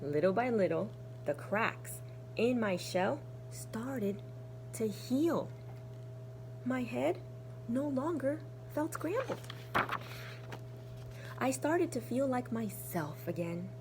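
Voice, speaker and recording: A woman teacher reading to young children in an expressive, deliberate storytelling manner. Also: a little high, a little hiss or hum, rough recording. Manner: animated, deliberate storytelling with hushed, breathy asides. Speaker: kindergarten teacher. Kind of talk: expressive children's storybook read-aloud with asides.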